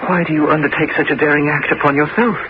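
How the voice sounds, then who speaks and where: Young imprisoned prince played by a man, gentle and bewildered, slightly high voice, formal radio-play diction, muffled noisy recording. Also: fairly even intonation, fast talker, natural voice. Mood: earnest, questioning. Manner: hesitant, questioning, formal stage diction. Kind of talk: 1970s radio drama acting.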